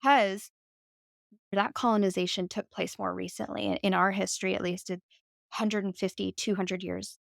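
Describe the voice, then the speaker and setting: A woman's voice: mid-range voice, steady tone, moderate pace, vocal fry, dry recording, studio quality. A woman speaking as a podcast guest, talking in a reflective way with lots of "like" and "right?". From United States. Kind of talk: conversational interview.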